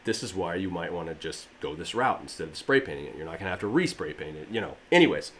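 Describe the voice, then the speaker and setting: A man in his thirties with a low, slightly raspy voice talks to camera about a DIY project. He rambles in a friendly way, full of fillers and restarts. He is recorded on the camera mic in his garage gym with a faint hum of ambience. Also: big pitch swings, fast talker. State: allergies. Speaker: fitness DIY channel host. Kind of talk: casual how-to talk to camera. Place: home garage gym, indoors.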